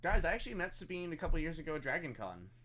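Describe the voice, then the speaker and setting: A man's voice: on the high side, big pitch swings, racing, bright, forceful tone. A man chatting casually and self-deprecatingly as he hosts a live stream over voice chat. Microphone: voice chat on a computer.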